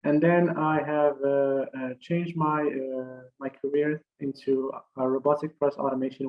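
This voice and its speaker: A young man from Morocco giving an online career fair talk, speaking haltingly with many fillers and restarts over a video call. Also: a little high, dull audio.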